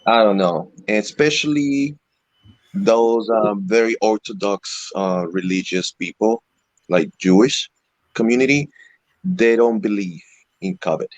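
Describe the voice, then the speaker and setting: Low-voiced Venezuelan-raised man, halting delivery. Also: dry recording, rough recording. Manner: halting, frequent "you know" fillers. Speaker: interview guest, electric skateboard rider. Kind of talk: casual video call interview.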